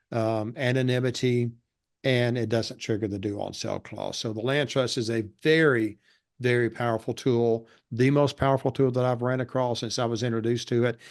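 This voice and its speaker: A man with a monotone voice, a real estate educator giving steady explanations in a casual interview. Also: mid-range voice. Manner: steady explanatory delivery with fillers.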